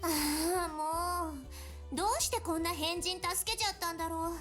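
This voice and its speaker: A woman's voice: extremely high pitch, fairly even intonation, fast, a bit bright. A woman playing a haughty, exasperated, easily flustered girl in a visual novel, with a scolding, sarcastic manner. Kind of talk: Japanese visual novel voice acting. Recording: game music behind the voice.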